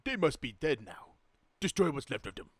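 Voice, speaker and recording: A man playing a flustered, anxious alien viceroy with halting delivery in a comedic script read. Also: medium pitch, very animated, no room sound. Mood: commanding.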